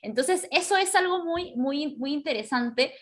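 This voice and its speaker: A woman's voice: high-pitched, expressive, unhurried. A woman moderating a casual panel discussion, forming her questions tentatively and repeating words as she goes.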